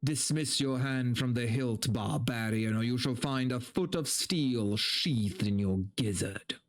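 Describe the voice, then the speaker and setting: A man's voice: medium pitch, measured pace, faint music behind, natural voice. A man voicing a stern, sadistic male soldier with a menacing, formal, declamatory delivery in a fantasy story reading. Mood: threatening. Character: stern, threatening, sadistic soldier guarding a captive.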